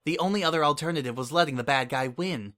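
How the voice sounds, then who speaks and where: Bright-voiced male storyteller. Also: on the high side, fast. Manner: steady, expressive first-person narration. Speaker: reader. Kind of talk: dramatic audiobook reading of fan fiction.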